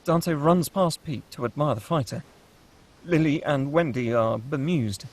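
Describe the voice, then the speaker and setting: A man's voice: a little high, a little slow. A man narrating screenplay stage directions in a scripted table-read style.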